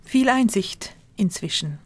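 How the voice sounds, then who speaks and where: Calm woman reporter narrating. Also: medium pitch, breathy edge. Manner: measured, descriptive. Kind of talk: scripted news narration.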